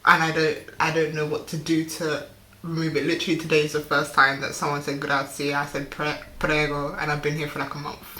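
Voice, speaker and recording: Young vlogger, mid-pitched, casual and rambling, recorded with noticeable room echo. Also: monotone, pushed and bright, slight background noise. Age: young adult. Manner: rambling, chatty, full of "like" fillers and quick laughs. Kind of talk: casual vlog chat. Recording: roomy echo.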